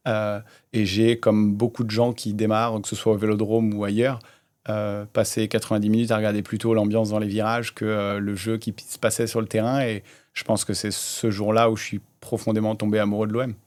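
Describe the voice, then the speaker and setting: A man in his thirties, a startup founder, telling his story in a relaxed way with many hesitations in a casual interview. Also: fairly low pitch, brisk. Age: 30s.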